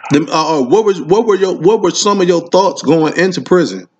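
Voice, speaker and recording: A man's voice: high voice, lively intonation, fairly quick, dull audio, slight background noise. A man hosting a casual interview, speaking informally and repeating his phrases for emphasis. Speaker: podcast host interviewing a caller.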